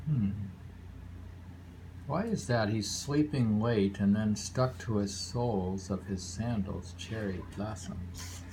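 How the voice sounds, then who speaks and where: Male poetry reader, unhurried and musing, casual asides between haiku, audible noise on a homemade recording. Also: lowish voice, a little mellow, poor audio. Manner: deliberate, pausing, musing between poems.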